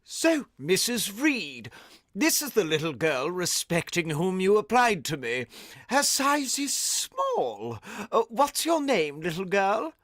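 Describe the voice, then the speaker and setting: An actor playing a pompous, sanctimonious male schoolmaster, slow, preachy and condescending, in a vintage radio drama style. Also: medium pitch, wildly swooping pitch, a bit bright, dry recording, clean studio sound, full character voice.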